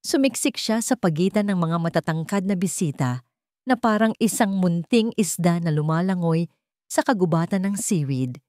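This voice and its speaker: Lady reading a suspense story, lowish voice that moves around a lot, dramatic, really dry sound no echo. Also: expressive, darkish tone. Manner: dramatic, suspenseful delivery with deliberate short phrases. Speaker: story narrator. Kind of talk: dramatic scripted audiobook-style narration.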